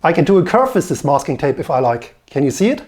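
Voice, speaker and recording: A man commenting on a bike painting video, explaining tape and materials in an instructive, slightly halting way. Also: on the high side, sing-song, darkish tone.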